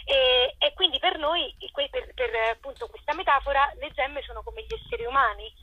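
A woman being interviewed as an actress and writer, explaining thoughtfully and haltingly as she searches for words, over a lo-fi phone-like line. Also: high-pitched, no echo. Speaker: actress and co-author interviewed about her play. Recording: muffled lo-fi phone-like line with noise.

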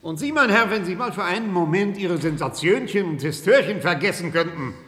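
Pompous high-voiced professor, echoey hall. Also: male voice, animated, brisk, fairly bright tone. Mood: indignant. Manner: pompous, emphatic, repeats himself. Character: defensive, blustering male professor heading a clinic. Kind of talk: 1970s German radio drama acting. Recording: echoey hall with long reverb.

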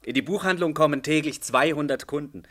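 A man's voice: on the high side, fairly quick, bright, forceful tone. An actor playing a restless, irritable young male student in a German radio play, brusque and moody. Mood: bored, dismissive.

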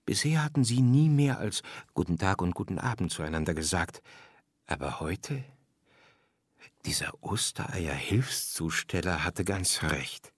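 A man narrating a scripted children's story, reading aloud expressively and with clear phrasing. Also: deep voice, no echo, studio quality.

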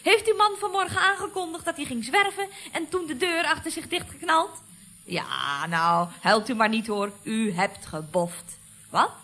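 A woman's voice: sits high, lively intonation, moderate pace, sharp tone, full character voice. A woman playing a chatty, knowing office secretary in a radio comedy, confiding and mock-soothing. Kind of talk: 1970s Dutch radio comedy acting.

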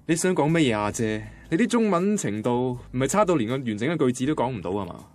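An actor playing an anxious, defensive young boyfriend from a Cantonese radio drama, conversational and grumbling, with a Hong Kong accent. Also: male voice, medium pitch, sing-song, fast talker, a little mellow, natural voice. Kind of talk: radio drama first-person narration and dialogue. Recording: guitar music behind the voice. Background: guitar music.

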